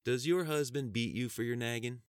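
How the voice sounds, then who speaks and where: A man's voice: medium pitch, normal speed, smooth, no room sound, studio quality, pitched above the performer's own voice, lightly acted. A man voicing a cantankerous gray-haired country sheriff in his fifties with an American country drawl, for a narrated story. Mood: irritated, sarcastic.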